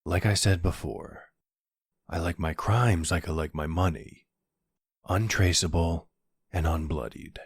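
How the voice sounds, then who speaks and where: A man playing a charming, smooth-talking mafia boss in an ASMR roleplay, wry and flirtatious. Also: low-pitched, measured pace, pauses often, no room sound, studio quality, heavily acted. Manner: flirtatious, wry, exasperated with his cousin. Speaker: voice actor.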